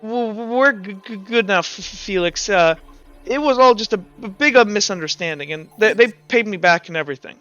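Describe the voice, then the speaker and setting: Man doing a high, hesitant teenage tinkerer boy. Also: fairly quick, a bit bright, clean tone, muffled recording, faint music behind. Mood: placating. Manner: stuttering, hesitant.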